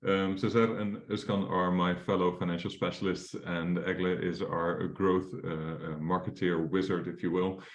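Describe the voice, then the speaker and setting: A man's voice: sits low, little pitch movement, normal speed, hardly any pauses, roomy sound. A young Dutch man presenting as a mortgage advisor in a webinar, casual and full of fillers and restarts. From Netherlands.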